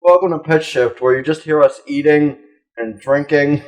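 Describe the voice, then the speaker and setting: A man's voice: a little high, normal speed, soft background music, rough recording. A man co-hosting a casual comedy podcast, joking crudely and irreverently in loose conversation. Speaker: podcast co-host.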